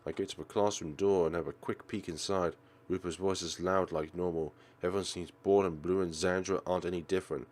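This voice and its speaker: A man narrating a visual novel aloud in an expressive, theatrical way, as a let's play host. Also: low voice, a little fast. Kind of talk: dramatic read-aloud narration.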